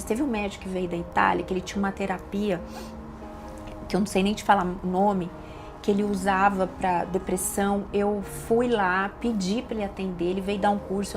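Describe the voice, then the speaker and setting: A middle-aged woman with a Brazilian Portuguese accent, a doctor and podcast guest, giving a personal testimony with emotional, emphatic repetitions. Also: medium pitch. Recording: audible background noise.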